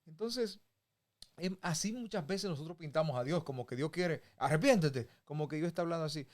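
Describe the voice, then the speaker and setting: Male preacher, somewhat high voice, folksy, conversational Bible teaching. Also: fairly quick, a little mellow. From Dominican Republic. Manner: folksy, with homey examples and chuckles.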